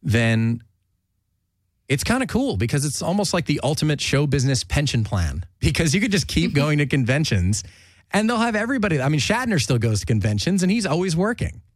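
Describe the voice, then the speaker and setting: A chatty man hosts a relaxed talk show, rambling along and restarting his sentences, with frequent laughs. The recording is dry, with no room echo. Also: on the high side, brisk. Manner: rambling, self-interrupting, laughs often. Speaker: podcast host. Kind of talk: casual chat.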